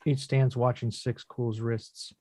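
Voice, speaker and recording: A man's voice: medium pitch, flat delivery, unhurried, darkish tone, no room sound, clean studio sound. A man reading screenplay action descriptions as scripted narration at a table read. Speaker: stage directions reader.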